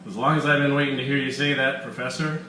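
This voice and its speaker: A man's voice: medium pitch, steady tone, fast, pushed and bright, voice pushed up, lightly acted. A man voicing a big, tipsy, flippant action hero of forty in a story reading, protesting cockily, in an echoey room. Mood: bold protest. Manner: protesting, cocky. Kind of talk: live short-story reading, character dialogue. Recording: echoey room, distant mic, background noise.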